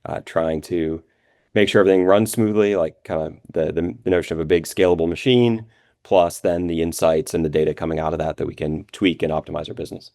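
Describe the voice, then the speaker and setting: A man's voice: deep voice, fairly even intonation, a little fast, mellow, no room sound, clean studio sound. A man answering questions as a guest in a business interview, explaining things conversationally with frequent filler phrases. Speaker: interview guest, revenue operations executive.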